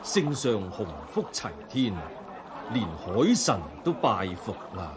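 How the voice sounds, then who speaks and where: An actor playing a humble, dignified Buddhist monk in a radio drama, speaking in a measured, formal and modest way. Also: male voice, on the low side, big pitch swings, background noise. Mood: respectful, composed. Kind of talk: Cantonese radio drama acting.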